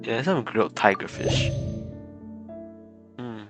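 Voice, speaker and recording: A man's voice: medium pitch, animated, takes it slowly. A man giving casual, rambling and giggly live commentary as a game streamer. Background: game music.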